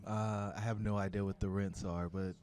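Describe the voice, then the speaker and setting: A man's voice: lowish voice, little pitch movement, normal speed, a little mellow. A man hosting a podcast, asking questions in an upbeat, playful, teasing way. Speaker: podcast host. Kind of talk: casual interview hosting. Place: Miami.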